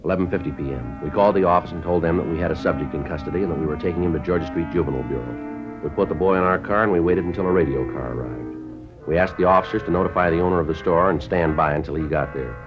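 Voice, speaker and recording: A man playing a stern, matter-of-fact police sergeant in a 1950s radio drama, clipped and deadpan with an American accent. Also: low-pitched, fast talker, over music, natural voice. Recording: muffled vintage radio recording.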